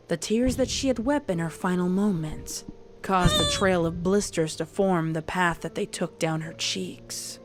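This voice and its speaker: A woman narrates a scripted story in a dramatic style. Her voice is on the low side for a woman. Music plays clearly behind her.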